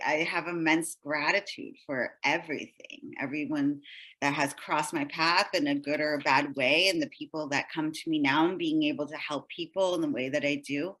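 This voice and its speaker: A woman's voice: deep voice, fairly bright tone, husky, low-quality recording. A middle-aged woman speaking earnestly as an interview guest, explaining things and often checking in with the listener. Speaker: podcast guest, energy healer. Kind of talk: heartfelt interview conversation.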